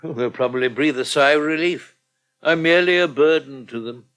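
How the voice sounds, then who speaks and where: Radio soap: male actor as elderly, self-pitying Englishman. Genteel grumbler, dry wit, slightly high forceful voice. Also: brisk, natural voice. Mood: grumbling. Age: older. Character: frail, self-pitying old man, fussy and drily gloomy. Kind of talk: British radio soap acting.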